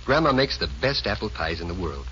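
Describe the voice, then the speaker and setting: A plain-spoken grown nephew in a 1940s radio comedy. His lowish voice is bright and animated as he explains things. The recording is dull and old. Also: fairly quick, a little hiss or hum. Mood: explaining. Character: sheepish explaining nephew of a dotty grandmother.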